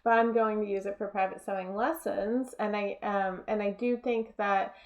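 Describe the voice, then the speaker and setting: A woman's voice: medium pitch, steady tone, moderate pace. A woman interviewer chatting casually and informally, asking questions and adding remarks of her own.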